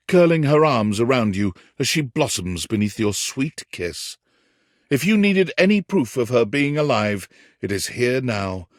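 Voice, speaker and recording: A man playing a whimsical, wry yet tender storybook narrator in a video game, with theatrical, deliberate phrasing. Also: medium pitch, no room sound, clean studio sound. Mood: tender, wistful. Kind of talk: scripted second-person storybook narration.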